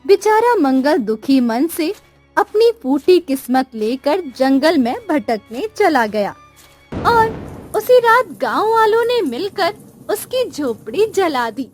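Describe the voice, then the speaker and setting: A woman's voice: high voice, sing-song, slow, faint music behind. A woman narrating a scripted cartoon moral story.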